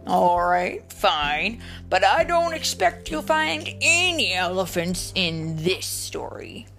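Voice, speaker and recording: A woman voicing a patient but exasperated Papa chicken in a picture-book read-aloud, measured, weary and skeptical. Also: lowish voice, big pitch swings, vocal fry, a bit bright, soft background music, heavily acted.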